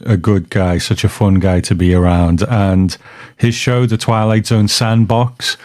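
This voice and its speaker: Low, dry-sounding man hosting casually. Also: a little mellow, no room sound. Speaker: podcast host. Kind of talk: casual reflective podcast talk.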